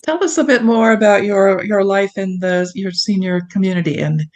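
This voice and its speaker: A woman's voice: medium pitch, normal speed, a little mellow. A woman hosting a conversational interview, feeling her way through questions and rephrasing them as she goes. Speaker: interviewer, podcast host.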